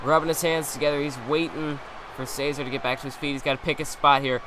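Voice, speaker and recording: A young man doing excitable, rambling play-by-play commentary over a wrestling video game. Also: on the high side, fast, noisy background.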